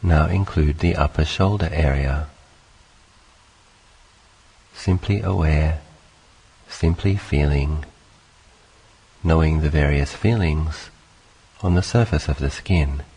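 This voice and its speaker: A man leading a guided meditation, speaking calmly in short, unhurried phrases with long pauses between them. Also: very deep voice, breathy edge, poor audio. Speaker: meditation teacher.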